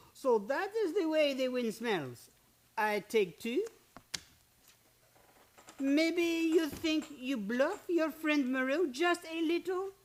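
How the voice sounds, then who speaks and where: Casting: foreign card sharp played by a woman, high and unhurried, needling, recorded with faint noise. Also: expressive. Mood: sly, teasing. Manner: teasing, stilted foreign phrasing. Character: sly, taunting foreign poker player in the Old West. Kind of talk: western radio play acting.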